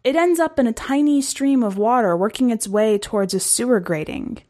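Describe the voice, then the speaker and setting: A woman reading a literary short story as scripted narration, in a measured, deliberate way. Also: a little high, warm tone. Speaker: podcast host and story reader.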